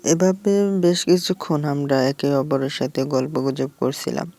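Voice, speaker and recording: A woman's voice: deep voice, steady tone, a little slow, dark tone, dry recording. A woman narrating a scripted first-person horror story as its storyteller.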